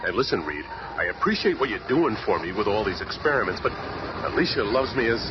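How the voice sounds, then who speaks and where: An actor playing a gruff, wisecracking monster hero who grumbles in tough-guy slang, in a superhero audio drama. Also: on the low side, big pitch swings, brisk. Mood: tender, earnest. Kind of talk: cartoon-style superhero audio drama acting. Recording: muffled lo-fi radio, loud noise.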